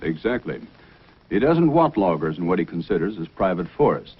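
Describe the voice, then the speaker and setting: A man acting a calm, authoritative Mountie sergeant in an old-time radio adventure, speaking firmly and deliberately. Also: low voice, husky, dull audio, heavily acted. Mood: explaining, stern. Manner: deliberate, firm, commanding. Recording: old band-limited radio recording, music.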